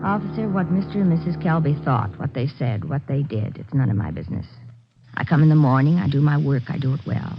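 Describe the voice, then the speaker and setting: A low, gravelly housemaid in a vintage radio crime drama. She is indignant and emphatic, insisting on her discretion while eager to gossip. The recording is dull and aged. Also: expressive, fairly quick, music in the background. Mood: defensive, indignant. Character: grumbling, defensive, gossipy female day maid. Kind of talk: 1940s-50s radio drama character acting.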